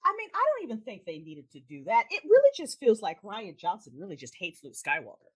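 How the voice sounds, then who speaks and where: A woman's voice: mid-range voice, huge pitch swings, a little fast, husky. A woman in a casual, opinionated film chat, talking in an emphatic, blunt way and swearing freely. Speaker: guest, audio drama creator.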